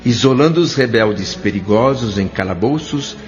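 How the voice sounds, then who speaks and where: A man with a Brazilian accent playing a thoughtful doctor who narrates his memories in an audio drama, in a measured, literary way. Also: mid-range voice, lively intonation, close to an everyday voice. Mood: solemn, reflective. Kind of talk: scripted first-person audio drama narration. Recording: dull lo-fi audio with music underneath.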